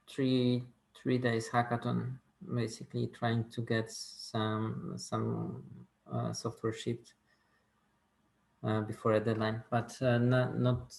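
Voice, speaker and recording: A man speaks slowly over a video call, his voice gravelly and his pitch flat. He pauses often with fillers as he answers questions. The room around him sounds echoey. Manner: slow, hesitant, with many fillers. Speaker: robotics consultant answering questions. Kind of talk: casual video call chat.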